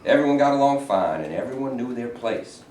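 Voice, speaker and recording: A man acting a stern, touchy, bigoted state governor in a staged play reading, halting yet authoritative. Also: medium pitch, animated, a little mellow, lightly acted. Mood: reflective. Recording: echoey, noisy stage recording from far off.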